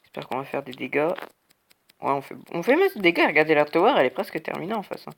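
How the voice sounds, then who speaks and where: A man's voice: a little high, big pitch swings, fast talker, vocal fry, fairly bright tone. A young man who has a cold, giving rambling casual commentary over a video game. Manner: rambling, full of "hop", "bam", "tac" sound words. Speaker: gaming YouTuber.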